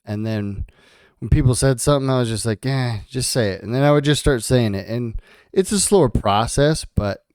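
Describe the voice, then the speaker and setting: Smooth-voiced laid-back man, swearing casually. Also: mid-range voice, normal speed, a little mellow, no room sound. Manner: laid-back, swears freely, says bro. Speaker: podcast co-host. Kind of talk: casual podcast chat.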